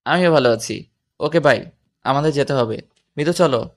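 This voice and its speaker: A low-pitched voice of a woman as a young husband and romantic hero in an audio drama. The delivery is calm, self-assured and lightly teasing. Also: normal speed, lightly acted. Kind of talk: scripted audio story acting with some first-person narration.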